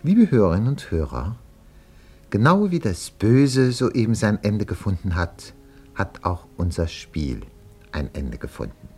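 Casting: fussy mock-solemn radio announcer, deep voice, very lively intonation, faintly noisy vintage recording. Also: male voice, a little slow, soft background music. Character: fussy, flustered, melodramatic male radio announcer. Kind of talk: 1950s German radio drama narration, parody reportage.

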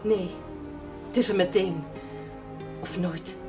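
A woman's voice: mid-range voice, steady tone, slow, lightly acted. Actress as solemn village woman, slightly distant. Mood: resolute, sad. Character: resolute, earnest village woman with forbidden love. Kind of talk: television period drama acting. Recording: distant microphone, music behind, 1970s television.

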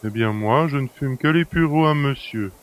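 A man's voice: medium pitch, moderate pace, lightly acted. A male actor plays an irreverent manservant in an audio drama. His middling voice is chatty and familiar with a mocking edge, over a faint bed of insect noise. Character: cheeky, familiar manservant who helps himself to master's luxuries. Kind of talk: French audio drama acting.

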